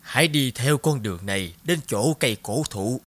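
Man voicing husky kindly old woman. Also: medium pitch, animated, normal speed, fairly bright tone, dry recording. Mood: kindly, pleading. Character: poor hungry old woman, kindly and mysterious. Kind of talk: storybook narration.

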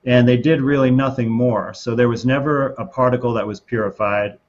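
A middle-aged man answers as an expert guest. His pitch is average and his delivery measured and methodical. The sound is a little dull, as on a video call. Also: fairly even intonation. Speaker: interview guest, doctor. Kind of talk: explanatory interview answer.

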